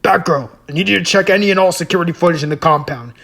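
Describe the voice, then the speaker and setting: A woman's voice: fairly low pitch, rapid-fire, gravelly, a bit bright. A woman voicing a grim, commanding male vigilante hero in a fan audio drama, terse and grave. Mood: urgent. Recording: music laid under the voice.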